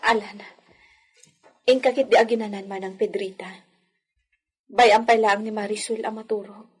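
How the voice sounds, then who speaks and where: An actress playing a hurt, trusting young lady of a hacienda household in an Ilocano radio drama, her voice slightly breathy. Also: mid-range voice, fairly even intonation, moderate pace, darkish tone, lightly acted. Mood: weary, evasive.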